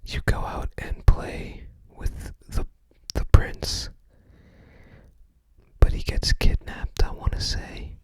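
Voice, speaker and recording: Slow, hushed ASMR whisperer. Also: no room sound, low-quality recording. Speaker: ASMR creator. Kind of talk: whispered ASMR, casual book browsing commentary.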